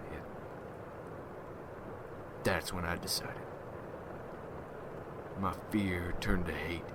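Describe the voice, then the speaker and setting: Man playing a deep, grim vampire hunter. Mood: bitter, brooding. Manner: solemn, brooding, deliberate. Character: grim, vengeful male vampire hunter guild recruiter. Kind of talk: ASMR roleplay, dramatic monologue. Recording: loud ambient noise behind voice.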